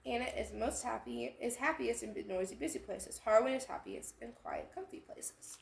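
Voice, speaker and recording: Woman, storytime read-aloud host, expressive, creaky edges, lively intonation, roomy echo. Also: on the high side, moderate pace. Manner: animated, acting out lines and pointing out details. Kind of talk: expressive picture-book read-aloud with explanatory asides. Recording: echoey room sound.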